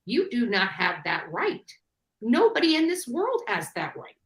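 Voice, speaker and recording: A woman's voice: a little high, huge pitch swings, unhurried, roomy sound. A woman ranting defiantly in a clip from her own video. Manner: defiant, indignant. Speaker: reviewed content creator.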